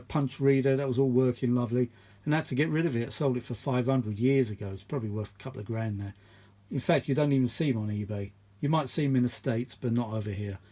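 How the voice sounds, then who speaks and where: Middle-aged or older man, casual repair vlogger, chatty, rambling, thinking out loud. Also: medium pitch. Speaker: vintage audio repair vlogger. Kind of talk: casual hands-on repair commentary.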